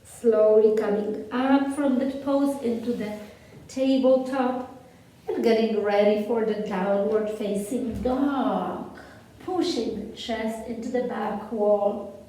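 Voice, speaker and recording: A woman with a Polish accent gives slow, soothing yoga cues with long pauses in a mellow, unhurried voice. She sits well back from the mic in a very echoey room, with a faint hiss underneath. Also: on the high side, steady tone, recorded from a distance. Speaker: yoga instructor. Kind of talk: guided yoga class instruction.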